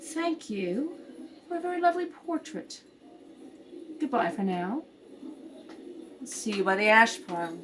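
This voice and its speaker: A woman's voice: medium pitch, big pitch swings, unhurried, pauses often, pitched below the performer's own voice. A woman plays a haughty young society lady from a 1930s radio comedy. She teases coolly and insinuates with coy poise. The home recording carries faint noise. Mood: icily polite.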